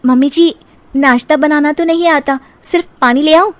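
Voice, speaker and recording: A woman's voice: high voice, moderate pace, voice pushed up, natural voice. A woman voicing a vain, whiny young beauty-queen daughter-in-law in a cartoon story, coy and dramatic. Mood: coy, sheepish. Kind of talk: cartoon story character acting.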